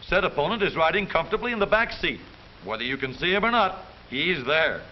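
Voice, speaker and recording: High-pitched, animated American newsreel man. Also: brisk. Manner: jaunty, theatrical, wisecracking delivery. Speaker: newsreel narrator. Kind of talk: 1930s newsreel scripted narration. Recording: muffled, hissy 1930s newsreel soundtrack.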